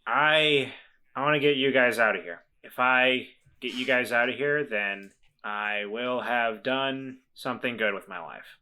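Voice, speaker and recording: A man playing a tabletop roleplaying game, talking in a hesitant, halting way full of restarts. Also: mid-range voice, sharp tone, clean tone. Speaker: player.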